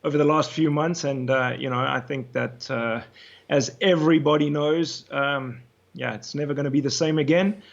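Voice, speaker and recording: A man's voice: a little high, steady tone, moderate pace, gravelly, muffled recording. A man from South Africa guesting on a video call, talking casually with many hesitations. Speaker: guest wedding photographer. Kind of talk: casual interview chat.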